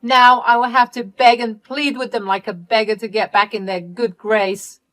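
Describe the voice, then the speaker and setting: A woman voicing a self-pitying young adult sister who pleads and defends herself in a high, strained voice. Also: very animated, takes it slowly. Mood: furious.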